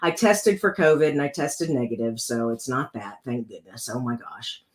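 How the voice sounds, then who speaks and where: A woman with a rough, congested voice hosting a casual card-making tutorial, chatting her way through each step as she works. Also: a bass voice, normal speed.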